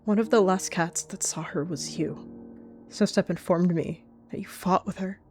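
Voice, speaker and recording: A devoted, secretive she-cat warrior, voiced by a woman, speaks with quiet intensity. Her voice sits a little low and stays close and possessive. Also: normal speed, soft background music, lightly acted. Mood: accusing.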